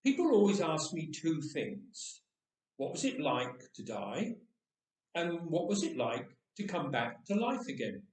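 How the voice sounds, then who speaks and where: A man reading a storybook tale as a candid thirteen-year-old boy who recalls his own death in a chatty, matter-of-fact way. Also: fairly high pitch. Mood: matter-of-fact, reflective. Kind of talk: first-person storybook reading. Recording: echoey room, distant microphone.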